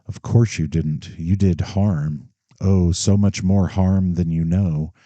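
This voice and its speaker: A man voicing a quiet, firm grown daughter. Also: low-pitched, steady tone, no room sound, lo-fi sound. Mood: regretful. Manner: quiet and measured.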